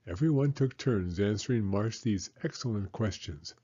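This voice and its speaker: Animated man narrating, mellow and husky. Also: on the low side, normal speed, highs cut off. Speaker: storybook narrator. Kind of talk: animated children's read-aloud narration.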